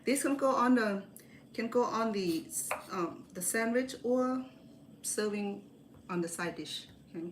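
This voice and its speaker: A woman's voice: fairly high pitch, unhurried. A woman from Cambodia chats casually as she cooks, rambling and correcting herself as she goes. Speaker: home cook hosting a livestream. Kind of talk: casual chat while cooking. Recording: echoey kitchen, clear background noise.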